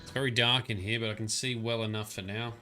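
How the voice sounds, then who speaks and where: A man's voice: on the low side, fairly even intonation, quick pace, noisy background. A man giving chatty, rambling live commentary while he plays a game and talks to his chat. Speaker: streamer. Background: faint game music.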